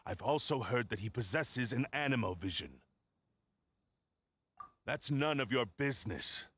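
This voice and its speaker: Brusque game swordsman: husky mid-range voice, curt, impatient, with strongly varied intonation. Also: medium pitch, expressive, a little slow, fairly bright tone. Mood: cold, questioning. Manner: curt, dismissive. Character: brusque, hostile wandering swordsman, dismissive, impatient. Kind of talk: video game voice acting.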